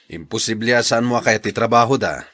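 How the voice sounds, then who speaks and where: A man's voice: fairly low pitch, fairly quick, pushed and bright. A man with an Ilocano accent playing a patient, agreeable husband and jeepney driver in a radio drama. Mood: placating.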